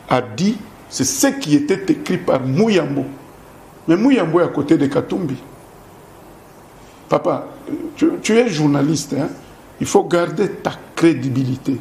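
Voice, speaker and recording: A man with a fairly high voice and a Congolese French accent, recorded in a roomy, echoing space. He debates politics as an interview guest, insistent and argumentative, hammering points home through repetition and rhetorical questions. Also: darkish tone, slight background noise.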